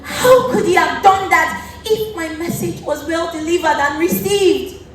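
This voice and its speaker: Stage noblewoman played by a woman: high, slow, theatrical, lovestruck and commanding. Distant, echoing theatre sound. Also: slight background noise. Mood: indignant, threatening. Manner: dramatic, drawn-out, declamatory delivery. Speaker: student stage actor. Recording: echoey theatre from far off, music.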